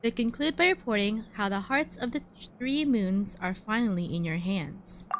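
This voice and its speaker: A woman's voice: medium pitch, expressive, moderate pace. A woman game streamer giving casual commentary and reading on-screen text aloud. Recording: faint background game noise.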